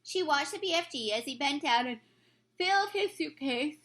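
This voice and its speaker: A woman's voice: sits high, animated, moderate pace, a bit bright. A woman reading a children's story aloud as narrator, in the style of a teacher reading to pupils.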